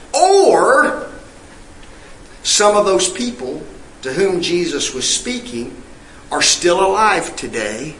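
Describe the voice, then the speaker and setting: A man's voice: high-pitched, fairly bright tone, roomy sound, slight background noise, poor audio. A male preacher, delivering an emphatic sermon full of rhetorical questions and scripture read in old-fashioned wording. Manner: reads scripture in King James wording.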